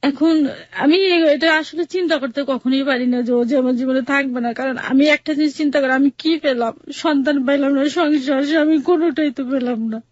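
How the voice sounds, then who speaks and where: A Bangladeshi woman tells her own story in a high voice. She sounds plaintive and halting, repeating words in everyday colloquial speech. The audio is dull and very dry. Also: darkish tone, dry recording. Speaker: interview guest telling her life story. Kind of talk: personal account in colloquial speech.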